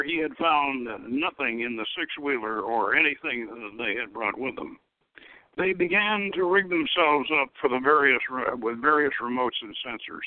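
A man's voice: fairly high pitch, narrow, tinny audio. A man giving a dramatic, theatrical reading of a story, stumbling over the odd word. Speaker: show host reading own novel.

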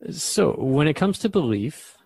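A man's voice: on the high side, sing-song, a little slow, vocal fry, darkish tone, breathy edge, exaggerated voice. A man playing an earnest, inquisitive radio host in a scripted talk-show drama, speaking conversationally. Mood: curious. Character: earnest, curious male radio host questioning a spirit guest.

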